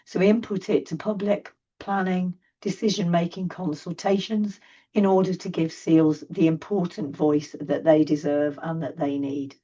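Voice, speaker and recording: A woman with a hoarse, failing voice talks chattily and apologetically as she presents on a video call. Her tone is low and mellow, with a husky edge. The audio sounds distant and dull, like a computer mic heard from across the desk. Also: fairly even intonation, normal speed. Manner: chatty, apologetic, self-deprecating. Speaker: charity presenter. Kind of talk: online presentation. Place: home desk.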